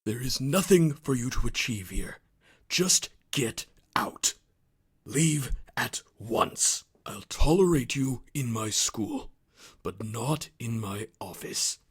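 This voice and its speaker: Very husky, stern headmaster. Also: male voice, medium pitch, animated, slow pace, exaggerated voice. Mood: stern, dismissive. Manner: curt, dismissive, indignant. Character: stern, irritable older male school headmaster. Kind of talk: dramatic game voice lines.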